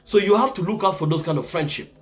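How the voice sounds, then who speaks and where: A man's voice: high-pitched, fast talker. A man from the Congo with a Congolese accent, giving earnest casual advice to viewers and often checking in with "right?". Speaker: vlogger giving life advice.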